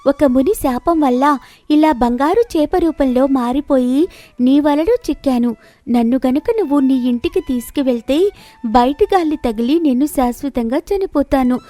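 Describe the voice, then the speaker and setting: A woman voicing a golden fish who is a cursed mermaid princess, pleading earnestly in an animated moral story. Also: high voice, a little slow, no room sound, voice pushed up. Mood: reassuring, pleading.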